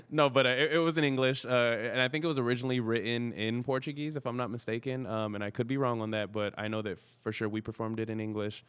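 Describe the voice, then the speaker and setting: A man's voice: mid-range voice, a little fast, fairly bright tone, clear, resonant tone. A man whose first language is Spanish, an actor chatting easily as a podcast guest with many "you know" fillers. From United States. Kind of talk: casual interview chat.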